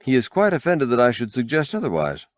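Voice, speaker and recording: Protocol droid, played by a male narrator: fussy, pompous, proper, raised pitch with lively swoops. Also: a little fast, dry recording, studio quality. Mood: embarrassed, formal. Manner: prim, formal, pompous.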